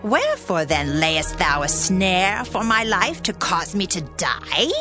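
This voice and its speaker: Fearful spirit medium, lowish female pitch, lively intonation, slow dramatic delivery, suspicious, music behind. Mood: wary, accusing. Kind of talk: dramatized scripture acting.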